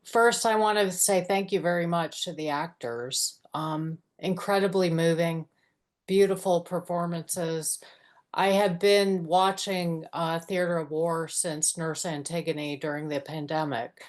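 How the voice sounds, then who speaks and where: A middle-aged woman, a nurse, giving an earnest unscripted comment over a video call in a measured, halting way. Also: on the low side, steady tone. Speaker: nurse, audience participant.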